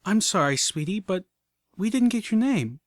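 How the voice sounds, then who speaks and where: A man voicing a gentle, refined adult mare who speaks softly and politely. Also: high-pitched, very animated, moderate pace, dry recording, studio quality, pitched above the performer's own voice. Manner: soft, polite.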